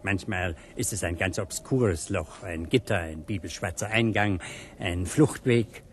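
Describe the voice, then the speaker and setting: An actor playing a knowing, eerie gentleman guide in a radio drama, assured, theatrical and bookish in his wording. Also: deep voice, measured pace, a little hiss or hum. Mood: darkly explaining. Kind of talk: German radio drama acting.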